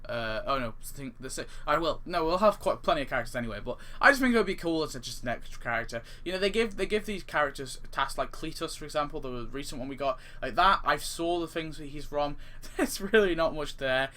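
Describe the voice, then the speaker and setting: A man's voice: fairly high pitch, animated, a little fast, few pauses, pushed and bright. A man giving casual, off-the-cuff gaming commentary in a rambling way, often correcting himself mid-sentence. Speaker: gaming video creator. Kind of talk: casual off-the-cuff commentary on game update ideas.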